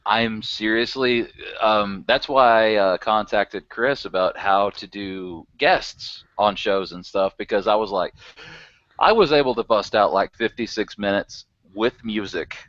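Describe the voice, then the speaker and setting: A man's voice: lowish voice, measured pace, fairly bright tone, dry recording. A man chatting casually as a podcast guest, rambling and chuckling often. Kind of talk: casual chat. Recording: dry, dull lo-fi recording.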